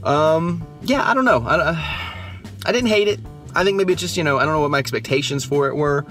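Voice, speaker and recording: A young man talks through his thoughts on a film he has just seen, in a casual and hesitant way. He rambles, fills his pauses with ums and you-knows, and restarts his sentences often. Soft music plays faintly underneath. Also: a little high. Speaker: movie reviewer, channel host. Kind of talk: casual post-movie review chat. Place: just back from the theater, at home.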